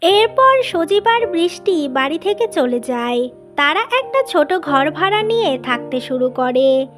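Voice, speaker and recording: Female narrator, very high voice, scripted cartoon storytelling, light music behind. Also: big pitch swings, unhurried.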